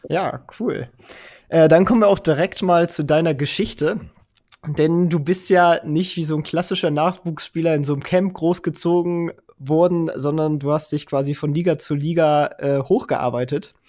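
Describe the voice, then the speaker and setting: A man hosting a podcast, reading a scripted intro and then asking brisk, upbeat interview questions. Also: fairly high pitch, fairly even intonation, darkish tone. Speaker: podcast host.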